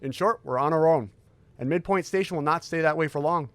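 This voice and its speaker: A man playing a tall, secretive military scientist who speaks in a formal, measured briefing manner in an audio drama. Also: fairly high pitch, a bit bright, dry recording.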